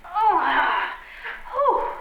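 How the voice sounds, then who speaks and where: A woman's voice: very high-pitched, sing-song, very slow, vocal fry, heavily acted. A woman playing a relieved spouse in a comic old-time radio advert, with exaggerated sighing delivery. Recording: echoey, dull recording, faint noise.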